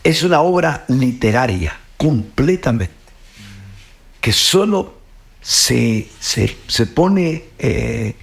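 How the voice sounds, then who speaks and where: A man's voice: mid-range voice, vocal fry. A middle-aged man with a Guatemalan Spanish accent, speaking earnestly about faith, often repeating words and ending with "pues, ¿verdad?". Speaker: host. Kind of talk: reflective faith talk.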